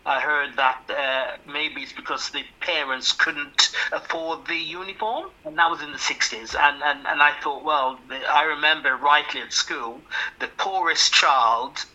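An older man from Jamaica, recalling his past in an interview with a hesitant manner, often repeating words and chuckling softly. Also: fairly high pitch, a little slow, dull audio. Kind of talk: reminiscing interview.

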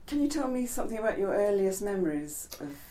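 A woman's voice: medium pitch, big pitch swings, fast, mellow. A woman conducting an oral history interview, asking polite questions with some hesitation.

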